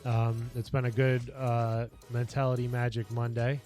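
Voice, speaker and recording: A man chatting casually on his home livestream in a basement office, rambling and earnest with lots of fillers, his voice getting a little raspy. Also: mid-range voice, flat delivery, normal speed, a little mellow, soft background music. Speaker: streamer and lifestyle coach.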